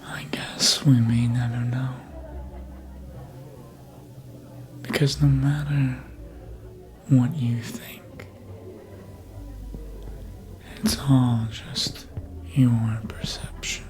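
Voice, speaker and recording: A performer voicing a suave, manipulative vampire in a whispered ASMR roleplay, breathy and deliberate, speaking close to the microphone. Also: mid-range voice, flat delivery, darkish tone, faint music behind, natural voice. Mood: hypnotic, teasing. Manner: slow, deliberate, hypnotic, insinuating.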